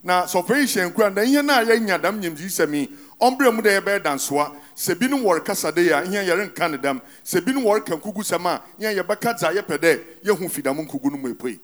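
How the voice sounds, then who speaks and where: A man's voice: sits high, expressive, a little fast, few pauses, fairly bright tone. A man interpreting a sermon in a church, following the preacher line by line.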